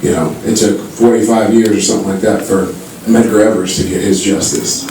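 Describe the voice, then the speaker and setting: A man's voice: lowish voice, steady tone, fairly quick, darkish tone. A man from California making conversational, heartfelt remarks as a speaker at a public meeting. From Menlo Park, California. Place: meeting hall, public town-hall event. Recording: echoey hall, distant mic, faint noise.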